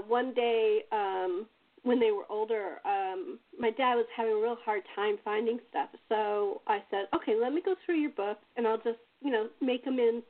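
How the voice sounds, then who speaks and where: Woman host, higher pitch, casual storytelling chat, prone to chuckles, thin phone-line sound. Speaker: host and playwright. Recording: narrow tinny phone-like call audio.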